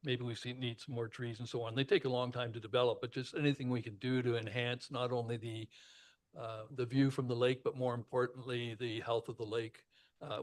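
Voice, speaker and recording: A man speaking as a councillor at a meeting, measured and hesitant, with frequent fillers. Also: medium pitch, darkish tone. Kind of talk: council deliberation. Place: council chamber.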